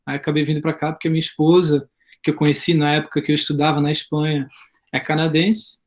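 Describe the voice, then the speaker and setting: A man in his thirties from Brazil, a teacher and sports psychology consultant, introducing himself in a relaxed, friendly way over a video call. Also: fairly high pitch, fast. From Brazil, Florianópolis. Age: 30s. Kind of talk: casual self-introduction.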